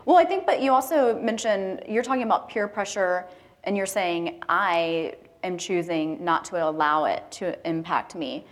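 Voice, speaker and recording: Low-pitched woman moderating a casual panel. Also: lively intonation, normal speed.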